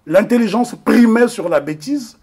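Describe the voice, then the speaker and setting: A man from the Republic of the Congo speaks as an interview guest, with a high voice and a husky grain. He argues his points emphatically, stumbling over fillers as he goes. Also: lively intonation, moderate pace, darkish tone. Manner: emphatic, hesitant with frequent fillers. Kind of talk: impassioned political commentary.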